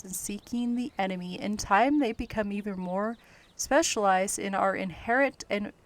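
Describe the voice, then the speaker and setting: A woman streamer calmly thinking aloud as she plays, keeping an even tone over game music. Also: medium pitch. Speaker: gameplay streamer. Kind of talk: casual gameplay commentary.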